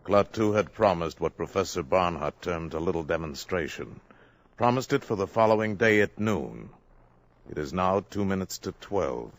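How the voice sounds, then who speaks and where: A man narrating a 1950s radio drama in a measured, dramatic storytelling manner. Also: low voice, animated.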